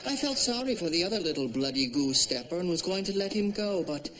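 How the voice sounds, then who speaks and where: An actor playing a flamboyant wartime pilot commander, theatrical and boastful, in a sitcom. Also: male voice, sits high, animated, brisk, fairly bright tone, rough recording.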